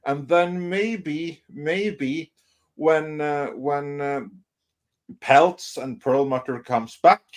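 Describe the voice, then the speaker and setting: A man hosting a casual live stream panel, speaking in a halting, wry way. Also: on the high side. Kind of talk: casual chat.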